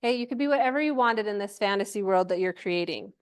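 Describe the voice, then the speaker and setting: A woman's voice: mid-range voice, expressive, fast, clear, resonant tone. A woman giving an informal workshop presentation to a live group, chatty and encouraging, often checking in with questions.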